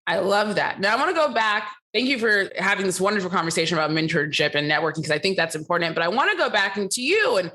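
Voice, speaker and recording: A woman interviewer with a bright, forward voice at a middle pitch. She talks informally and chattily, affirming her guest as she goes. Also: lively intonation, quick pace, hardly any pauses. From Michigan, United States. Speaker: interviewer, host. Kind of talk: casual conversational interview.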